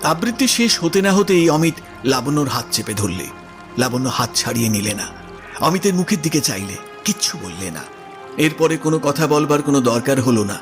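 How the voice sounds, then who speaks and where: A man's voice: fairly high pitch, sing-song, fairly quick, over music. A man narrating a literary story expressively for an audio drama.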